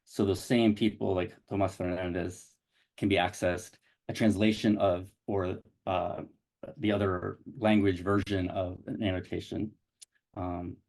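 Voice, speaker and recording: A man presenting a digital edition's web interface on a video call, speaking haltingly with many restarts. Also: fairly low pitch, creaky, a little mellow. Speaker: digital project developer presenting a site.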